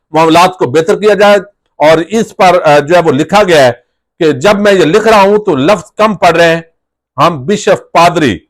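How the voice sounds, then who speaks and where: A man with a Pakistani accent, reading out news reports and adding his own commentary. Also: sits high.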